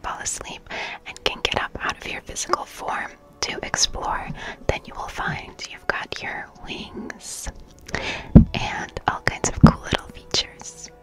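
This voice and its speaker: Slow, whispering young woman doing ASMR. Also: medium pitch, poor audio. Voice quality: breathy whisper. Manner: soft, soothing, gentle, repeating phrases. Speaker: ASMR performer playing an art teacher. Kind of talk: ASMR roleplay, personal attention. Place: small bright plant-filled room indoors. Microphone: close studio microphone with fluffy cover.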